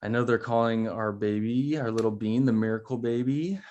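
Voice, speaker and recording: A man's voice: mid-range voice, moderate pace, a little mellow, highs cut off, close to an everyday voice. A man playing an awkward, earnest expectant father in a short film table read over video call.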